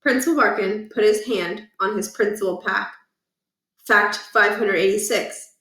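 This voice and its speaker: A woman reading a children's book aloud in an animated storytelling style. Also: medium pitch, measured pace, reverberant, far from the mic, low-quality recording.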